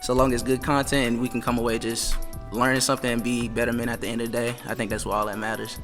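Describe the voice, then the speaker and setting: A man's voice: mid-range voice, flat delivery, fast, fairly bright tone, long reverb tail, soft background music. A man hosting a casual podcast chat in a gym, talking in a loose, laid-back way. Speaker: podcast host.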